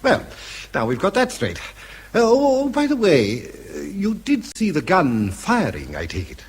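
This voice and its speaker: An actor playing a wily old professor in a radio drama, hesitant and repeating himself with feigned vagueness. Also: male voice, sits high, huge pitch swings, measured pace, slightly rough, exaggerated voice. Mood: slyly mocking. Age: older. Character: wily old professor, hesitant, slyly needling, senior scientist.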